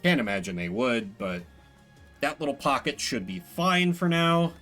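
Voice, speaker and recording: A man's voice: mid-range voice, big pitch swings, normal speed, pushed and bright, faint music behind. A man streaming a strategy game, musing aloud as he talks himself through his decisions. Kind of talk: live strategy game commentary, thinking aloud.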